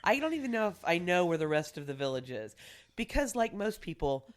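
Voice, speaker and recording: A woman's voice: on the low side, big pitch swings, brisk. A woman co-hosting a podcast, teasing and joking in casual group banter. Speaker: podcast co-host.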